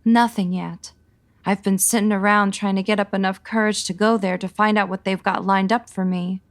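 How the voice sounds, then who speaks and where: A woman's voice: medium pitch, steady tone, moderate pace, close to an everyday voice. An actress playing a worried, earnest showgirl in the melodramatic manner of 1940s radio noir.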